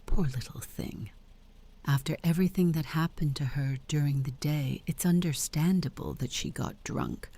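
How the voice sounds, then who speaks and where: Middle-aged aunt, very low pitch, mellow tone, teasing, possessive, visual novel game, music behind. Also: female voice, moderate pace, slightly rough. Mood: sympathetic.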